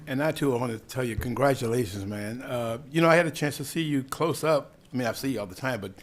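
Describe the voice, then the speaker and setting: A man's voice: medium pitch, fast talker. Man, board meeting, informal thanks, rambling, folksy, restarts. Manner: warm, rambling, folksy with repeated starts.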